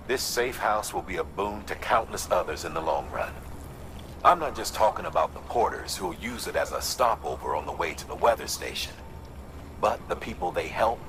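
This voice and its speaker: A man's voice: deep voice, background noise. A man playing a solemn, encouraging commander in a video game, speaking earnestly as if giving a speech. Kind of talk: video game briefing dialogue.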